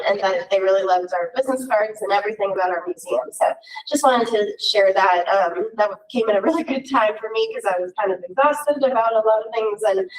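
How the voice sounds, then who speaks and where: A chatty woman shares good news at a board meeting, picked up by a distant mic across the room. Her pitch sits in a middle range, and she talks loosely with plenty of fillers. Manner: chatty, laughs easily. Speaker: museum staff member giving a report. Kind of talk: casual meeting report.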